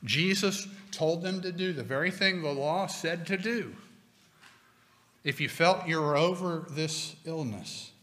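A middle-aged man preaching a sermon from a pulpit, slow and deliberate with emphatic pauses. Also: on the high side, a bit bright. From United States. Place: church sanctuary with stone wall, at a pulpit. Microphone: pulpit microphone. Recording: long hall reverb.

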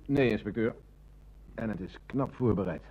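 An actor playing a deferential, thoughtful police sergeant in a radio play. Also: male voice, lowish voice, moderate pace, muffled recording, natural voice. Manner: deferential, considered. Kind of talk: Dutch radio play acting.

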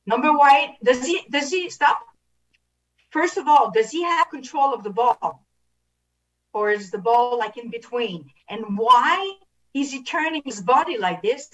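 Middle-aged woman, referee instructor, slightly high voice, instructive, questioning, repeats key phrases, somewhat distant video-call sound. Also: a bit bright. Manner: instructive, rhetorical questions, frequent repetition. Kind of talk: video analysis coaching at an online clinic.

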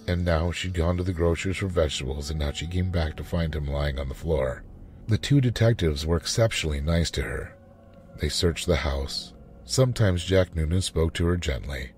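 A man reading a short story as a dramatic, expressive narrator. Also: sits low, a little hiss or hum.

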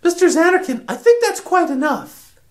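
An actress playing a prim, protective schoolteacher in a comic radio drama, proper and fussy in manner. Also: female voice, very high voice, big pitch swings, normal speed. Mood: indignant.

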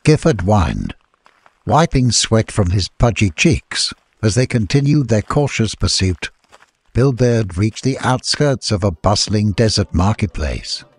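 Low-pitched male storybook narrator. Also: expressive, a little slow, husky, no room sound. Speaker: audiobook narrator.

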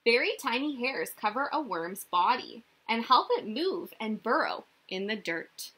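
A young woman reading a picture book aloud to small children like a teacher, expressive and sing-song, often putting questions to them. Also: fairly high pitch, measured pace, slightly rough. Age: young adult. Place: small indoor room.

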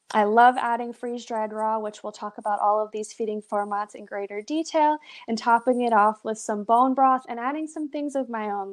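Upbeat young woman giving a webinar. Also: fairly high pitch. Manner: upbeat, encouraging, with frequent "so" and "awesome". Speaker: brand representative presenting a pet nutrition webinar. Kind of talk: live webinar presentation. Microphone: webcam on a video call.

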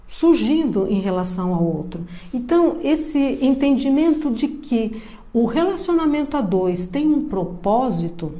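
Slow, mellow-voiced woman giving a lecture. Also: fairly high pitch. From Brazil. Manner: slow, measured, explaining step by step. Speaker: psychologist giving a talk. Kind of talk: calm educational lecture to camera. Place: indoor room with plants and a painting behind. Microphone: webcam framing, seated at desk.

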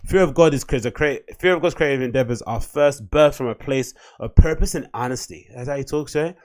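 A man, hosting a casual solo podcast and rambling from a small home room into a desk mic. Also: medium pitch. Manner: rambling, chatty, reads captions aloud in mock voices. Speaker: podcast host. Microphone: desk mic on boom arm.

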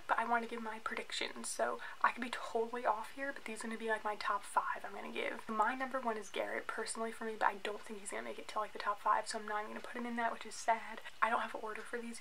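A woman in her twenties with an American accent, chatting casually and giving opinions in an animated way as a vlogger. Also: fairly high pitch, racing, gravelly, hardly any pauses, noisy background. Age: 20s. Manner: opinionated, animated, filler-heavy with "like". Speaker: YouTube vlogger reviewing a reality show. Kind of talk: casual chatty vlog commentary. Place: small indoor room at home, by a bookshelf.

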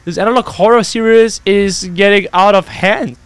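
Young man reacting, slightly high-pitched voice. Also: fairly even intonation, moderate pace, a little hiss or hum. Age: young adult. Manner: exclamatory, slangy, sweary. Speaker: YouTube reaction host. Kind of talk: casual reaction commentary. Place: small indoor gaming room at home. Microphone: close desk microphone on boom arm.

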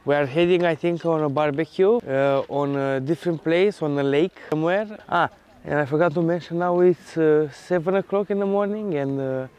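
Male travel vlogger, thirties, smooth clear voice pitched a bit high, casual. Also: normal speed, a little mellow. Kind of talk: casual vlog talk to camera. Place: outdoors, open beach and lakeside woodland.

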